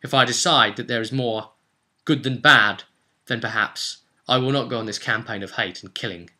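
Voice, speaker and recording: Man playing embittered elf loner, solemn and forceful. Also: mid-range voice, moderate pace. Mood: brooding, threatening. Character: embittered male elf loner, vengeful and brooding.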